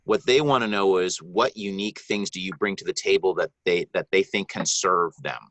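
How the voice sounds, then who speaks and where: A deep-voiced man from the Bahamas answers questions as a guest narrator in a live online Q&A. His tone is bright and forceful, and he talks in an earnest, rambling way full of ums and restarts. The sound is dry and close. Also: normal speed, no echo. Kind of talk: live video Q&A advice.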